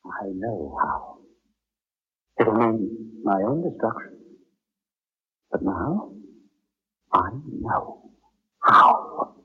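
A man playing an anguished human surgeon in an old-time radio drama, halting and overwrought, repeating his phrases. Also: mid-range voice, very animated, breathy edge. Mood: grim resolve. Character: anguished human surgeon obsessed with discrediting robot doctors. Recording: dull, lo-fi old radio recording.

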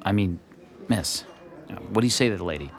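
A man's voice: low voice, lively intonation, a little fast. An actor playing a courteous, money-worried small-town father in an audio drama, with a polite, folksy manner. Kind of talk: naturalistic audio drama dialogue.

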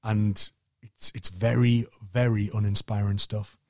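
A man with a Northern English accent, a podcast co-host talking casually and haltingly, repeating words as he thinks aloud. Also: fairly low pitch, level tone, a little mellow, no echo, studio quality.

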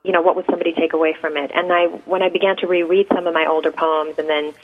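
A woman's voice: fairly low pitch, level tone, fast talker. A woman in her thirties from Iran, a native Persian speaker, answering radio interview questions hesitantly and reflectively, with many ums. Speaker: guest poet. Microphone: phone. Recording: tinny phone line.

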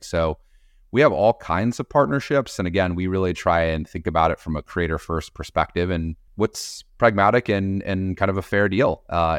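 A man's voice: low-pitched, clear, resonant tone, dry recording, clean studio sound. A man answering interview questions about his company, thoughtful, with fillers and restarts. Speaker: podcast network executive.

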